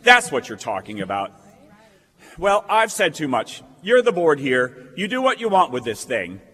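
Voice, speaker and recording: Guy doing a fired up young idealist, folksy and stammery, high voice, stage radio play in a big echoey hall. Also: huge pitch swings, normal speed, bright, forceful tone, husky, full character voice. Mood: defiant. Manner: impassioned, stammering, folksy speech. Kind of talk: staged old-time radio play acting. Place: theatre stage. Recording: reverberant stage hall recording.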